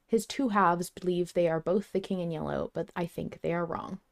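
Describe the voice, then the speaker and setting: A woman's voice: lowish voice, steady tone, moderate pace, gravelly. A woman co-hosting a podcast, chatting casually and reading listener mail aloud. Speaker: podcast host.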